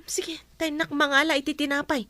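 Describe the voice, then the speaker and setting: A woman acts out a sympathetic female friend in a radio drama. Her voice sits high. She speaks expressively and conversationally, full of care. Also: flat delivery, brisk, sharp tone, no echo. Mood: urging. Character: caring, sympathetic woman friend of a struggling mother.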